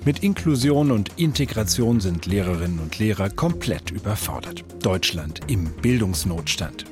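A male radio host reading a scripted introduction, then interviewing a guest in measured tones. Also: lowish voice, expressive, over music. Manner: measured, sentence prompts.

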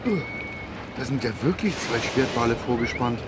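A man's voice: mid-range voice, expressive, moderate pace, heavy background noise, lightly acted. An actor playing an anxious, sarcastic foster father posing as a pirate in a children's radio play, hesitant and nervously jolly. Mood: excited. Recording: echoey scene with faint music.

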